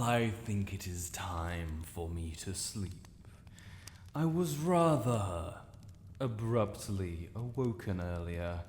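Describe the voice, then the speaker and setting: A British man voicing an ancient dragon lord, regal, stern yet protective, with formal, measured diction in a fantasy audio roleplay. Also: lowish voice, a little mellow, a little hiss or hum. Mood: calm, courteous. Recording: echoey cavernous reverb.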